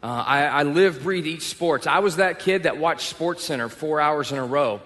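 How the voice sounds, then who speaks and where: A man's voice: a little high, moderate pace, a bit bright. A young male pastor from Texas with a Texan accent, preaching a sermon and telling personal stories with self-deprecating humor. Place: church auditorium. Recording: long reverb of a large hall.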